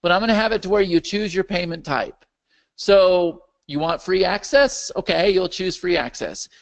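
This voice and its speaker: Gravelly-voiced man hosting a live call. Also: sits high, normal speed, muffled recording. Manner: animated, checks in with the audience often. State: losing his voice. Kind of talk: live webinar Q&A with screen share.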